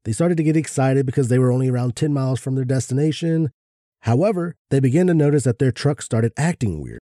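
A man's voice: mid-range voice, expressive, fast talker, warm tone, no room sound, studio quality. A man co-hosting a casual chat and reading out research. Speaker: podcast co-host.

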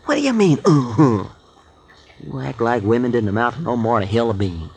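An actor playing a grumbling, folksy sidekick in a 1940s radio drama, drawling and full of homespun slang. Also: male voice, mid-range voice, big pitch swings, fairly quick, darkish tone, slight background noise.

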